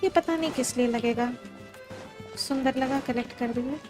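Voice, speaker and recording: A woman's voice: high-pitched, very fast, music in the background. A woman with an Indian accent giving casual live gaming commentary as a streamer, switching between Hindi and English. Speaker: game streamer.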